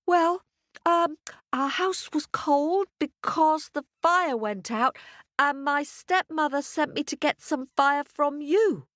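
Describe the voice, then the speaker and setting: A woman voicing a frightened young girl who stammers in a high, shaky voice. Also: slow, a bit bright, no echo, studio quality.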